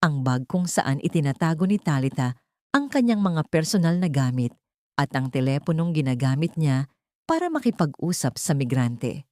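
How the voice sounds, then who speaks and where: A woman with a Filipino accent reads a dramatic audiobook story. Her voice sits on the low side and is recorded close and dry. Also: big pitch swings, moderate pace, darkish tone, dry recording. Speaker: audiobook narrator. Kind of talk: dramatic scripted story narration.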